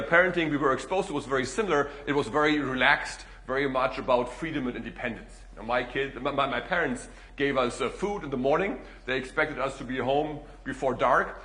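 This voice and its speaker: German-accented middle-aged man lecturing in an echoey room. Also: mid-range voice. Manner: relaxed, frequent "uh" and "you know" fillers. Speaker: guest speaker, economics professor. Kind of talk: public lecture.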